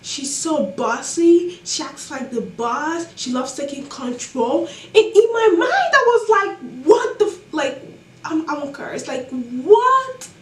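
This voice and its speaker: A young woman with a non-American accent telling college stories casually, animated and exasperated, with many fillers. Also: high voice, slow pace, reverberant, distant mic. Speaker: college student vlogger. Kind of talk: casual storytelling to camera.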